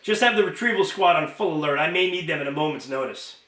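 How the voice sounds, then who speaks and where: A man's voice: on the high side, lively intonation, fast talker. A man voicing an irritable fairy police commander in a storybook, curt and commanding as he barks orders. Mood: exasperated, commanding. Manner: curt, commanding, shouts into comms. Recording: roomy, echoing home recording.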